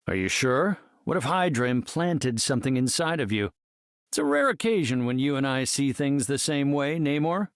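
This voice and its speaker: An actor playing a brainy, confident scientist hero in a video game, speaking in an articulate, measured and slightly formal way. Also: male voice, medium pitch, lively intonation, clean tone, dry recording, clean studio sound, lightly acted. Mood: thoughtful, conversational. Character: brainy, confident, earnest male scientist superhero leader.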